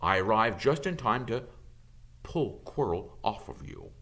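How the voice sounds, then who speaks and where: A man voicing a kindly, calm old wizard headmaster in an audiobook, musing and hesitating as he gently reassures. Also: lowish voice, a little slow, gravelly, full character voice. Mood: gentle, grave.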